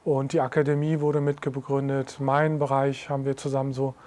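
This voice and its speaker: Man speaking in a flat, level tone. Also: medium pitch. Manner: steady listing, measured delivery. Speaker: anti-discrimination project lead. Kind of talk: interview statement.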